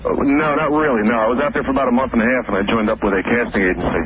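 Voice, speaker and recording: A man's voice: mid-range voice, racing. A man in his twenties calling a radio show from a payphone, telling an anecdote casually and earnestly, a little defensively. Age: 20s. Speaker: phone-in caller. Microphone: payphone handset. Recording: very noisy tinny payphone line.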